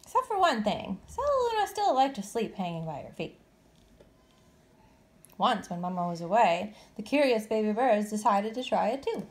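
A woman reading a children's story aloud expressively, with deliberate, dramatic phrasing. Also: mid-range voice, big pitch swings. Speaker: storybook reader.

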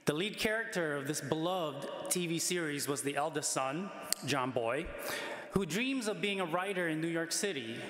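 A middle-aged man, a priest, giving a measured, earnest sermon from a lectern microphone in a large church. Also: a little high, expressive, fairly bright tone. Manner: measured, earnest preaching with emphatic rises. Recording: echoey church with long reverb.

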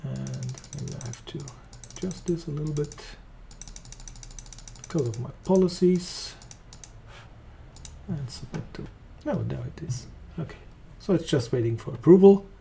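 A man with a German accent walking through a software demo, talking casually as he clicks along. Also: a little high, measured pace, vocal fry, pauses often, a little hiss or hum. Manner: relaxed, thinking aloud while clicking. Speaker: software trainer. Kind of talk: screen-recorded software demo.

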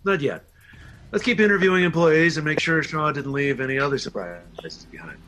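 A man's voice: fairly high pitch, lively intonation, moderate pace, fairly bright tone, faint music behind, low-quality recording. A man acting a scheming undercover spy villain who poses as a boss, in a script read. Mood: cold, commanding.

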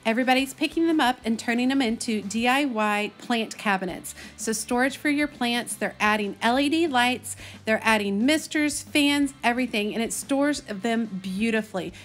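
Chatty Texan woman, higher-pitched voice. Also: moderate pace, few pauses. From Texas, United States. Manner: chatty, enthusiastic, folksy. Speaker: host of a gardening video channel. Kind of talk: casual vlog narration.

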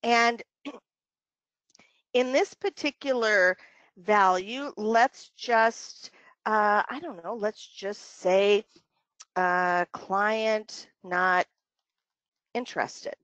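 Woman software trainer, dull dry recording. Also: mid-range voice, extremely slow, pauses often, no echo. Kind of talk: conversational step-by-step software walkthrough. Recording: dull, lo-fi dry webinar audio.

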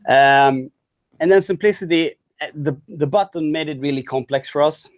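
A man giving a conference talk on a product his team built, speaking in halting sentences with many restarts and fillers. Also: on the high side. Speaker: tech talk presenter.

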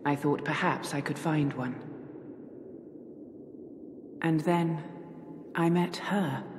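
An actress playing a stoic, reserved noble warrior woman in a video game, speaking in a measured, formal and restrained way. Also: deep voice, steady tone, roomy sound, big-room sound, slight background noise. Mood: solemn, reflective. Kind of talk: video game cutscene acting.

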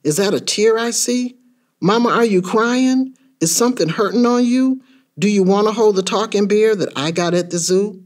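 A man playing an excitable, bewildered young boy in a rhyming dramatic story, speaking in a childlike, insistent way. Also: sits high, moderate pace, voice pushed up, lightly acted. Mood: worried. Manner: childlike, insistent, repeated questions. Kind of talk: dramatic spoken-word storytelling in rhyme.

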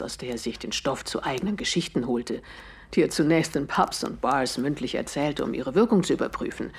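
An actress playing an earnest, composed widow in a German audio drama, telling her story deliberately with dramatic pauses. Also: sits low, a little mellow, husky. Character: grieving widow of a murdered man, earnest and composed.

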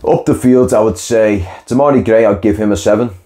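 A young man with a lowish, mellow voice gives his opinions in a conversational monologue. He sounds tired, sighing now and then and restarting his sentences. He is recorded indoors at desk distance from the camera. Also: brisk. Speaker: football channel host. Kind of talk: post-match reaction monologue. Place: indoor room with window onto a marina.

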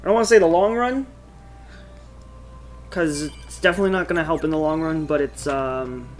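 A man's voice: on the high side, normal speed. A man giving casual, playful commentary while he plays a video game. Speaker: gamer commentating on playthrough. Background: game music.

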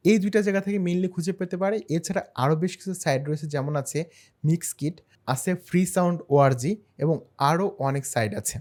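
Fast-talking man narrating a tutorial. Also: on the high side, a little mellow. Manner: step-by-step, explanatory. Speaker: tutorial host. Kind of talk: screen-share software tutorial narration.

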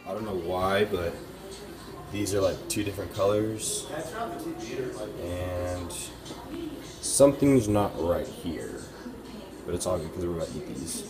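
Laid-back young man, low voice, echoey kitchen. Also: unhurried, long pauses, over music. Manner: laid-back, filler-heavy talk with many likes and ums. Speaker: fitness vlogger. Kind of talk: casual vlog chat. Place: small home kitchen. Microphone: camera mic at arm's length.

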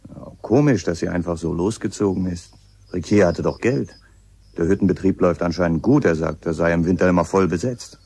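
Tiefer, bedächtiger Kriminalinspektor. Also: male voice, deep voice, darkish tone. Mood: suspicious. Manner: questioning, deliberate, hesitant openers. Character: male police inspector, dry, probing, methodical investigator. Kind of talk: German radio play acting. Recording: clear background ambience noise.

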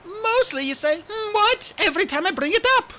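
A man's voice: very high-pitched, expressive, normal speed, sharp tone, pitched above the performer's own voice, heavily acted. A man voicing a bubbly, bold and chatty young female dragon in a fantasy storybook.

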